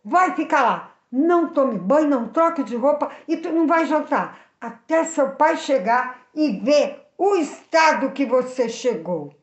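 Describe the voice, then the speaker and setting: A woman storyteller voicing an angry, worried mother who scolds her young son with loud, insistent questions. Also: sits high, animated, measured pace, hardly any pauses, pitched above the performer's own voice. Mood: angry, scolding. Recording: echoey room, slightly dull sound.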